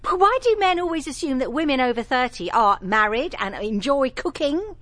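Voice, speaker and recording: A woman's voice: high-pitched, big pitch swings, normal speed, fairly bright tone, no echo. An actress playing a prickly, disdainful woman over thirty in an audio play, blunt and full of probing questions. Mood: dismissive, blunt. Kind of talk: two-person audio play acting.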